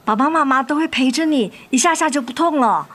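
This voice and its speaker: A woman's voice: high-pitched, brisk, a bit bright. An actress playing a hurt, anxious mother in a radio drama, with a guarded, sorrowful, emotional manner. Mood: tender, sad. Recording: music under the voice.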